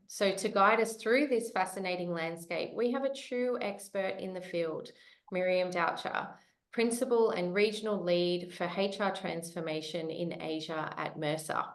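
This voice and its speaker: Upbeat woman host with a low voice. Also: echoey room. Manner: upbeat and welcoming. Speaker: webinar host. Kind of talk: scripted introduction.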